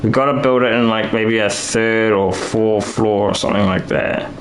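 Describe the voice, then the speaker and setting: A man's voice: lowish voice, fairly even intonation, normal speed, a little hiss or hum. A man live-streaming a building game, rambling and thinking aloud with lots of restarts while he is losing his voice.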